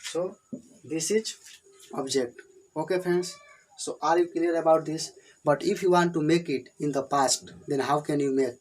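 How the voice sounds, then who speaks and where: A man's voice: on the high side, unhurried, darkish tone, rough recording. Indian man teaching English grammar. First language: Hindi. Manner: repetitive, plain explanations. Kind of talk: whiteboard grammar lesson.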